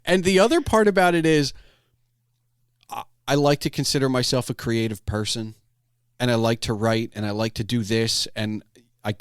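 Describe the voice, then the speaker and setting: Male podcast host, medium pitch, conversational and halting, close dry sound. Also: clean tone, dry recording. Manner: halting, repeats words as he thinks. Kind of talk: casual conversational interview.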